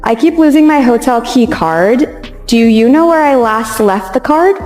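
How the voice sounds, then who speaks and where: Higher-voiced woman presenting in an echoey hall. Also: expressive, unhurried, darkish tone. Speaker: product demo presenter. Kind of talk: live stage demonstration, conversational. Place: stage before an audience. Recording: echoey hall with reverb, faint music.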